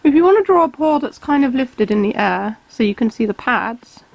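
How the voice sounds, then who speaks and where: A woman, calmly explaining each step of a drawing tutorial while she sketches. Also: fairly high pitch, normal speed, gravelly, dry recording, poor audio. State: has a cold, slightly hoarse. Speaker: art tutor.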